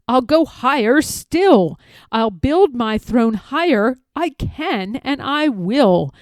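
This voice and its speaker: A woman's voice: high voice, dry recording, exaggerated voice. A woman voicing a pompous, bossy, boastful male turtle king in a rhyming storybook, with an imperious, bellowing manner. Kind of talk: rhyming storybook read-aloud.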